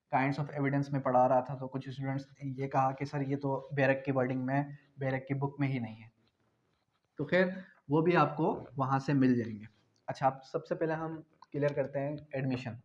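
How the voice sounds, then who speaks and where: A man's voice: medium pitch, fairly even intonation, normal speed. A man with a Pakistani accent teaching law, explaining point by point in a didactic way and posing questions that he answers himself. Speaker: law lecturer. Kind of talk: law lecture explaining articles clause by clause. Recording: dull, lo-fi audio.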